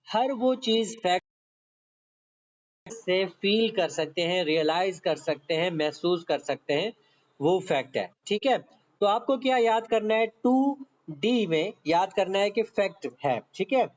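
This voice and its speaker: High-pitched man teaching law. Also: rough recording. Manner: didactic, repeatedly checking understanding, mixing English terms into speech. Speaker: law lecturer. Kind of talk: online exam-prep lecture, explaining and reading out clauses. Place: online meeting class.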